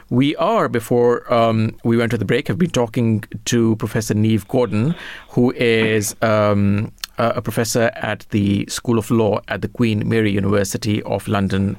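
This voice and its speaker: A man hosts a live radio talk show, his voice gravelly with a fry at the ends of phrases. He hesitates a lot and restarts his sentences often. The studio sound is close and dry. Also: lowish voice, no echo. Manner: hesitant, many fillers and restarts. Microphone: studio microphone.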